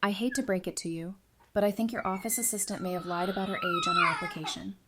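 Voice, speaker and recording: A woman playing a brave, practical survivor with dry warmth in an audio drama. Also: medium pitch, huge pitch swings, fast, very noisy. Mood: playful, tender. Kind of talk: fan audio drama acting.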